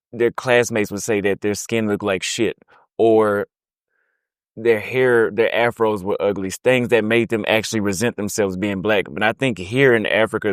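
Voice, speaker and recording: Young male host, casual and loose, lowish voice, dry close recording. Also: dry recording. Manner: casual, relaxed, uses slang. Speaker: YouTube host. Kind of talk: casual interview hosting.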